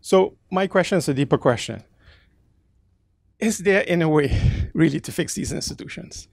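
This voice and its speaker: A man, from the Caribbean, asking the author a long question from the audience, halting and restarting as he searches for words. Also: fairly high pitch, lively intonation, creaky, slightly rough, no echo, clean studio sound. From Caribbean, raised in the Netherlands. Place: bookstore event space.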